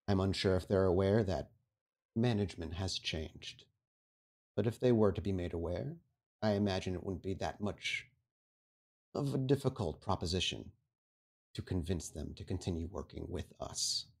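A man playing a low-voiced, stern vampire prince. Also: darkish tone. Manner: formal, measured, authoritative. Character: stern, commanding vampire prince, formal and menacing. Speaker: game master. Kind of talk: tabletop roleplay acting.

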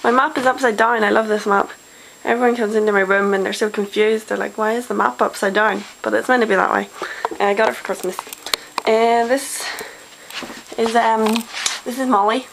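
A woman's voice: a little high, fairly even intonation, normal speed, creaky, a bit bright. An eighteen-year-old woman with a Northern Irish accent, chatting casually and self-deprecatingly in her bedroom, with many ums. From Northern Ireland. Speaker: vlogger. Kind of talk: casual vlog chat. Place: small bedroom at home. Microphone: built-in webcam mic. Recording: noisy home webcam recording.

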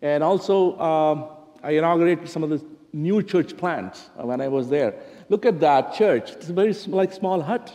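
Mellow Indian-accented man preaching in a hall. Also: on the high side, normal speed. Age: middle-aged. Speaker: church minister. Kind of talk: sermon, conversational address to congregation. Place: large church sanctuary at a pulpit. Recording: reverberant church hall.

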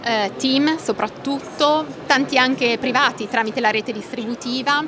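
Enthusiastic high-voiced woman. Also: a little slow. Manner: enthusiastic, explanatory. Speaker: interviewed family-company executive. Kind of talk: conversational interview. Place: open trade-show stand. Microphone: handheld microphone held by interviewer. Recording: busy trade-fair crowd noise. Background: crowd bustle.

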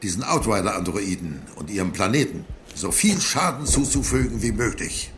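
A man playing a steady, fatherly older military commander, calm and gravelly, in an audio drama. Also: fairly low pitch, normal speed. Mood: reassuring. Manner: calm, authoritative. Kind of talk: German audio drama acting. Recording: echoing, noisy battle ambience.

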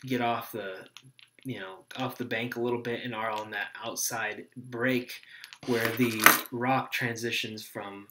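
A young man, a fishing guide, explaining gear to a webcam at his desk in a small room, in a relaxed, rambling way with many fillers. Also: medium pitch, measured pace, noisy background. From Texas. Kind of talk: casual tutorial to camera.

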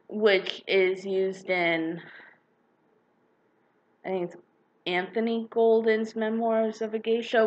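A young woman, slightly hoarse from a cold, giving her frank, opinionated take in a casual vlog from her living room, recorded on a webcam. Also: medium pitch, dull audio. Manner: chatty, opinionated, frank with mild swearing. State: slightly hoarse, getting sick. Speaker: book vlogger. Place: living room with a couch, indoors.

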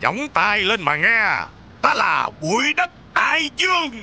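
Pompous, high-pitched cartoon villain. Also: male voice, wildly swooping pitch, unhurried, pushed and bright, full character voice. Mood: grandiose. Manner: pompous, exaggerated. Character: boastful villain in a children's cartoon, vain and theatrical. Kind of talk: animated cartoon dubbing.

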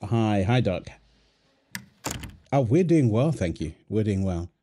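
A man playing a playful, doting young husband and father in a soft, tender ASMR roleplay, teasing as he talks. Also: fairly low pitch, sing-song, slow pace, a little hiss or hum. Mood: polite, friendly. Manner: teasing, tender, chuckles often while talking. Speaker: audio roleplay performer. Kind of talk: ASMR boyfriend roleplay, one-sided dialogue.

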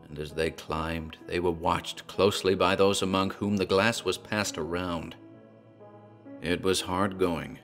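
A man with a very low voice reads a horror tale in a measured, grave manner. Soft music sits quietly behind him throughout. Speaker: narrator. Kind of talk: scripted horror narration.

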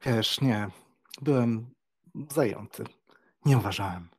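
A man playing an eager, greedy young aristocrat in a dramatized audiobook, with a husky voice. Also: fairly low pitch, takes it slowly, full character voice. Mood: curious.

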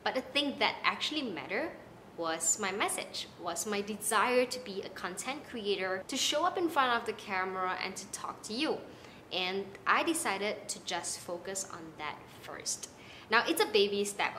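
A young woman from Malaysia with a Malaysian accent, giving casual, animated advice to the camera from her living room. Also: mid-range voice, a little hiss or hum, poor audio. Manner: chatty and animated, gesturing with her hands. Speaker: content creator. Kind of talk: casual talking-head vlog advice. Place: small apartment living room, indoors.

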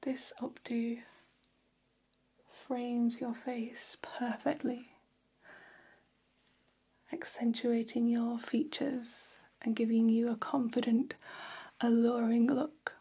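A woman playing a gossipy, flattering vampire hair stylist in a whispered ASMR role-play, speaking in a soft, breathy, conspiratorial hush. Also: a little high, heavily acted. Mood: flattering, soothing. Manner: slow, hushed, conspiratorial, indulgent. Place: small decorated indoor room.

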